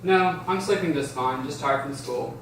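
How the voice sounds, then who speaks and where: A man's voice: medium pitch, normal speed, vocal fry, a bit bright. A man reading the part of a tired, stressed schoolboy brother in an amateur script table read. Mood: tired, evasive. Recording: very echoey room, distant mic, background hiss.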